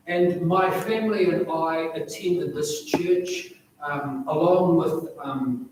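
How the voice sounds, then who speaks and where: Older New man, slow in echoey church. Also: fairly high pitch, slight background noise. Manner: loud unamplified voice, measured with frequent ums. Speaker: funeral officiant. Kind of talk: funeral address. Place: large church hall. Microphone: distant recorder, no amplification. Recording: echoey church recording from far off.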